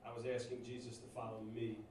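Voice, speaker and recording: A man from Northeast Philadelphia giving a halting personal testimony to a church congregation, full of fillers and restarts. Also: mid-range voice, monotone. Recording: echoey room, distant mic, faint noise.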